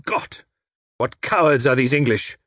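A suave foreign villain from a detective storybook, acted out by a male reader, pitched higher and swinging widely. He is formal and mockingly polite, with menace that sharpens into a snarl. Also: big pitch swings, normal speed, no room sound, studio quality. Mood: impatient, threatening. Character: suave, menacing well-educated foreign spy posing as inspector.

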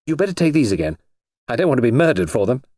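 Guy doing a posh young lady for an audiobook, daring and gushy, pitched up, swoopy. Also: sing-song, quick pace, a little mellow, no echo, studio quality. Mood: restless, excited. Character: thrill-seeking, languid young society lady, beautiful and daring. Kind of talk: audiobook character voice.

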